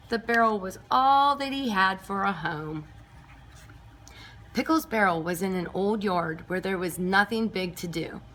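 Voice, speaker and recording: A woman reading a picture book aloud to nursery school children, expressive and emphatic, with chatty asides. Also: fairly high pitch. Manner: emphatic, clearly paced for young children.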